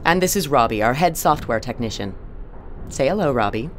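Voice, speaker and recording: A woman playing a composed, authoritative spaceship captain in an audio drama, measured and businesslike. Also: extremely low pitch, expressive, a little hiss or hum. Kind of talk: naturalistic audio drama acting.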